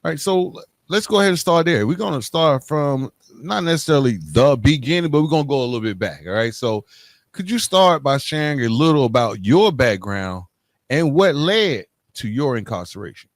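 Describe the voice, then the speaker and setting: Male podcast host, mid-pitched, scripted intros and casual questions, dry recording. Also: lively intonation, normal speed, no room sound.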